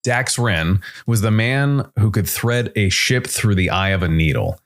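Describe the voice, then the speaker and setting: A man's voice: lowish voice, expressive, measured pace, fairly bright tone. A man reading scripted science fiction noir narration as a storyteller. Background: faint music.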